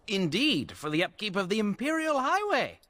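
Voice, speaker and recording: High, lively smug bandit leader. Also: male voice, moderate pace, exaggerated voice. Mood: smug, mock-polite. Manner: mock-polite, sardonic. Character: smug, smooth-talking male bandit leader. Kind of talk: fantasy game voice acting.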